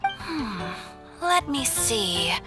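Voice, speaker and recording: Game receptionist, high-pitched, animated intonation, courteous, measured, over music. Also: female voice, full character voice. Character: polite, helpful female guild receptionist. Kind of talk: anime game voice acting. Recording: loud background music.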